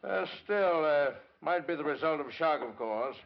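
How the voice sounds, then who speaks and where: An actor with an American accent playing a measured hospital doctor in an old radio drama, hesitant and deliberating. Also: male voice, on the high side, expressive, vocal fry. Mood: thoughtful. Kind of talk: 1940s-50s radio acting. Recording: muffled, faintly noisy old radio recording.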